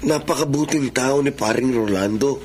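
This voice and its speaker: A man's voice: medium pitch, moderate pace, a little mellow, natural voice. A man playing a guilt-ridden lover in a secret affair, speaking earnestly and hesitantly in a Tagalog radio drama. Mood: guilty, troubled. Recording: faint background noise.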